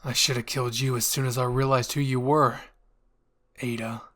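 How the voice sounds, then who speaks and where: A man's voice: medium pitch, flat delivery, normal speed, fairly bright tone, close to an everyday voice. A man voicing a menacing, determined male traitor warrior in a story, hard and threatening. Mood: cold menace.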